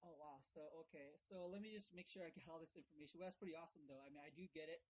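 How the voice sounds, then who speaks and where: A man calling a brokerage rep, casually explaining things in wordy, halting stretches and often asking for confirmation. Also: fairly high pitch, husky. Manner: wordy, halting explanations with repeated check-in questions. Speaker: caller questioning a brokerage rep. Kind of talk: casual phone conversation, checking his understanding.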